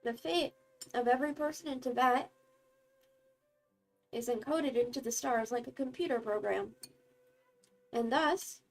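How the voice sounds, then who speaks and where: A woman's voice: fairly high pitch, unhurried, pauses often, far from the mic, soft background music, low-quality recording. A woman streamer giving casual commentary while playing a video game.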